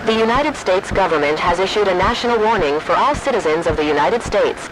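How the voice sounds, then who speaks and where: A man's voice: high-pitched, animated, a little fast. A man voicing an impersonal emergency alert system, reading formal, stilted official warnings over noisy broadcast audio. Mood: official, grave. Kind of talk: scripted emergency broadcast announcement.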